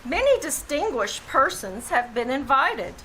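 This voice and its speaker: Woman as a high, bright old-time radio announcer. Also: sing-song, a little slow, a little hiss or hum, exaggerated voice, low-quality recording. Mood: upbeat presentational. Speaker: show announcer. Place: live small theater stage.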